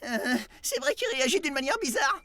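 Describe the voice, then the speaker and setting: An actor playing a teasing young bully's sidekick in an animated cartoon. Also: high voice, a little fast, no echo, full character voice. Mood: uneasy, eager.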